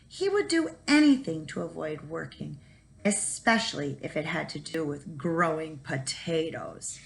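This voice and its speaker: Female teacher for a children's read-aloud, animated and emphatic, with a slightly gravelly creak. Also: low voice, measured pace, a little hiss or hum, rough recording. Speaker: first grade teacher reading aloud.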